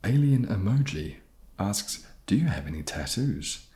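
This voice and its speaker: A man chatting softly in an ASMR question-and-answer style, with a low gravelly voice and a hesitant, dry, self-deprecating manner. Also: sing-song, measured pace. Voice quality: low, gravelly, soft-spoken. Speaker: ASMR video creator and host. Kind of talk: soft-spoken ASMR question-and-answer chat.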